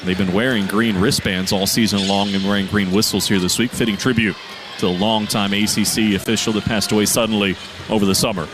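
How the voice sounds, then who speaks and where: A man's voice: on the low side, steady tone, a little fast, background noise. A man calling a basketball game on radio, reading lineups and stats from an arena broadcast position. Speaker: radio play-by-play announcer. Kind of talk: pregame sports radio broadcast.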